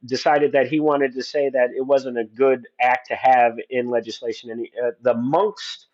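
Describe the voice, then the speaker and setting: A man's voice: mid-range voice, flat delivery, normal speed, a little mellow, dull audio. A man in his forties, a guest on a livestream, talking earnestly and at length with many restarts. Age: 40s. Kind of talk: opinionated conversation.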